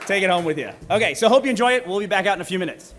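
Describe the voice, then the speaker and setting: A man's voice: sits high, animated, very fast, a bit bright. A man informally introducing a video to an audience, jokey and self-deprecating. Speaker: event presenter introducing a video. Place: live event before an audience. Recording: live event, some crowd noise.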